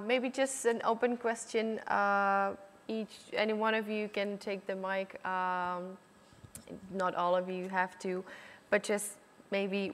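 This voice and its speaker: A woman moderating a student panel, unhurried and hesitant with many fillers. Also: mid-range voice, a bit bright, slight background noise. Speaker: event moderator. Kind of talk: hosting a panel. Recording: long hall reverb.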